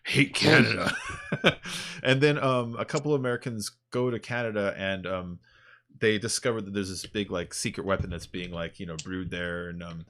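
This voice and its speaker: A young man with a lowish voice chats loosely on a live stream, full of fillers and quick laughs. He rambles through stories and never quite finishes a thought. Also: fairly even intonation, a bit bright. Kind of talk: casual chat.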